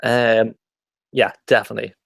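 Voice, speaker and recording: An Irish man offers his view in a low voice that hardly moves in pitch. He sounds dry and close to the mic, with a measured, even delivery. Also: gravelly, dry recording. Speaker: book club panelist. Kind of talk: casual discussion.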